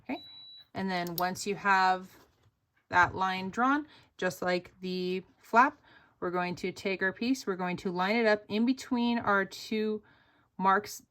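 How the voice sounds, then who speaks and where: A woman's voice: mid-range voice, slow, a bit bright. A young woman casually walking viewers through a sewing pattern step by step, upbeat and full of fillers. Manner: chatty, upbeat, many fillers like "like" and "oop". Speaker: sewing pattern designer teaching a tutorial.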